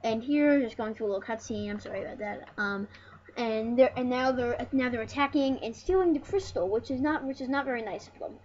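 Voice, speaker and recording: A high-voiced speaker giving casual let's play commentary as host of a retro gaming series, rambling and often restarting phrases. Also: fairly quick. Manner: rambling, repeats and corrects phrases. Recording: muffled, noisy home recording.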